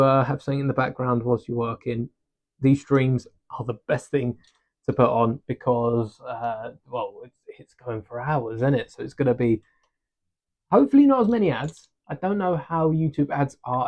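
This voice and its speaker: A man chats casually to his live-stream viewers as he builds, thinking aloud and poking fun at himself. His voice sits at an ordinary pitch and comes through a desk mic. The stream audio is a little dull and lo-fi. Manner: relaxed, chatty, self-deprecating, thinks aloud. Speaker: livestream host building a brick set. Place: home desk during a livestream. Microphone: desk microphone.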